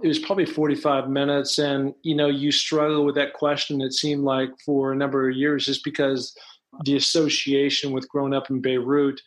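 A man's voice: mid-range voice, monotone, moderate pace, rough recording. A middle-aged man hosting an interview podcast, talking in a friendly, rambling way. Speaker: podcast host.

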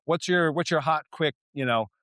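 A man's voice: fairly high pitch, normal speed, fairly bright tone, no echo, clean studio sound. A man hosting an interview, asking questions in a casual, loose way with fillers. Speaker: podcast host.

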